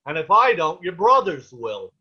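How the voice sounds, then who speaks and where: A man's voice: high-pitched, very animated, moderate pace, slightly rough, full character voice. A man playing a stern, protective peasant father in a table read of a period play, with ornate old-fashioned diction, over a video call. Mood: angry. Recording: dull, lo-fi online call.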